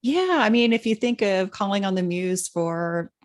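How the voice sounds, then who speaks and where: A woman's voice: mid-range voice, animated, normal speed. A woman co-hosting a casual spiritual chat, hesitant, with many fillers, stumbling over names. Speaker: podcast co-host.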